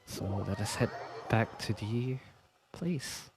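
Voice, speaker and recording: A man's voice: fairly low pitch, lively intonation, slow, noisy background. A man giving casual, muttered gameplay commentary as a streamer, with sighs and swearing. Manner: muttering, sweary, sighing reactions. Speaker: game streamer.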